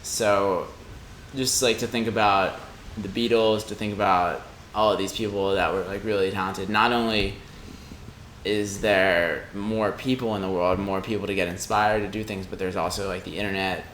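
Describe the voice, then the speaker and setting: A man in his mid-twenties with a low-pitched voice, talking in a casual, reflective way. He feels his way through each thought haltingly. The recording has a clear room echo and slight noise. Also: fairly even intonation, a bit bright. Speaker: podcast speaker. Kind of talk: casual reflective podcast conversation. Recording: roomy echo with faint background noise.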